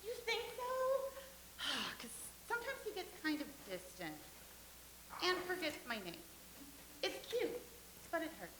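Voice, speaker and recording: A woman's voice: extremely high pitch, big pitch swings, measured pace, long pauses, pushed and bright, slight background noise, heavily acted. A woman playing a gushing, naive young actress. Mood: dreamy. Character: vain, starry-eyed young stage actress, woman. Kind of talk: staged play reading. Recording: echoey room.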